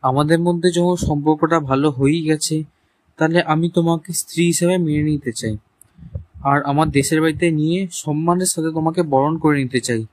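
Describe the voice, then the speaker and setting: Cartoon young husband, played by a man: remorseful, earnest, hesitant, slightly high pitch, very dry recording. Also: steady tone, normal speed, a little mellow, no echo, natural voice. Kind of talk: animated story dialogue.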